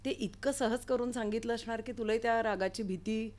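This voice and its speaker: A woman's voice: a little high, steady tone, a little fast, darkish tone. A woman hosting a conversational studio interview in an appreciative, encouraging way. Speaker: interview host. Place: recording studio.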